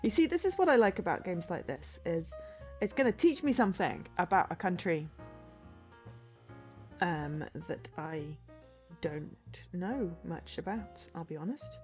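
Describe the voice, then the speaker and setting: Sniffly woman streamer with a cold. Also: on the low side. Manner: chatty and hesitant, full of ums, unsure of name pronunciations. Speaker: game streamer. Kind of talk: casual livestream chat, reading a game blurb aloud.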